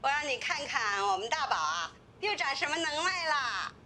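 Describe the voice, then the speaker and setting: A woman's voice: high voice, very animated, normal speed, sharp tone, heavily acted. A woman playing an older, doting grandmother in a screen drama, chatty and exclamatory. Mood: delighted, cooing. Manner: cooing, exclamatory baby talk. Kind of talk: naturalistic screen drama acting.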